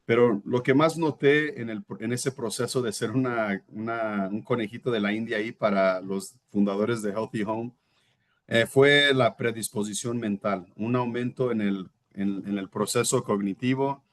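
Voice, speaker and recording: A man's voice: fairly low pitch, fairly even intonation, moderate pace. A Brazilian-born man in his thirties, a native Portuguese speaker, giving a reflective, earnest personal testimony as an interview guest and sometimes searching for words.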